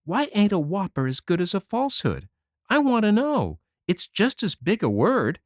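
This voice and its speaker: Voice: wildly swooping pitch, normal speed, no room sound, clean studio sound. A reader voicing a mischievous six-year-old boy who pleads and questions eagerly, in an audiobook. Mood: curious. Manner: high, eager childish pleading. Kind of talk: audiobook character voice.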